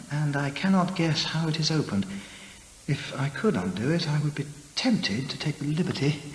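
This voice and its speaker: An actor playing a curious, bookish English gentleman antiquarian in a radio drama, musing hesitantly in old-fashioned literary language. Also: on the high side, long reverb tail, slight background noise, poor audio.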